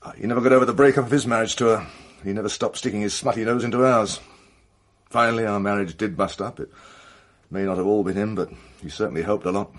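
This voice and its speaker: A man's voice: lowish voice, fast, lightly acted. An actor playing an evasive but blunt film crew man in a radio drama, hesitant and defensive in colloquial talk. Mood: bitter.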